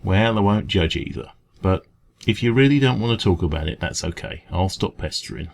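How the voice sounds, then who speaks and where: A man with an English accent playing a kindly, gentle café dragon in an ASMR comfort roleplay, speaking in a hesitant, informal, reassuring way. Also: deep voice, expressive, brisk, gravelly, a little hiss or hum. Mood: gentle concern.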